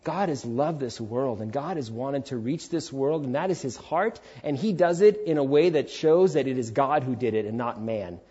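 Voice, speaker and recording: A man's voice: mid-range voice, mellow, husky, lo-fi sound. A man in his forties preaching a sermon in an emphatic, informal, conversational way. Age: 40s. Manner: emphatic, informal, with rhetorical questions and acted-out quotes. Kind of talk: sermon with casual, conversational asides.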